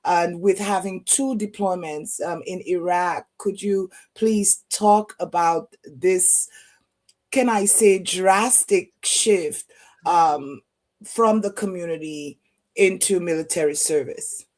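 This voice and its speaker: A woman hosting an interview over a video call, asking questions slowly with many ums and uhs. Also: fairly low pitch, steady tone, a little mellow. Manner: slow, halting with many fillers. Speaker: host.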